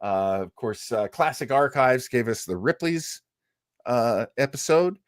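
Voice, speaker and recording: A man's voice: medium pitch, expressive, a little slow, no room sound, clean studio sound. A man hosting a show, chatting casually and introducing old radio programs with jokey asides. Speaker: host.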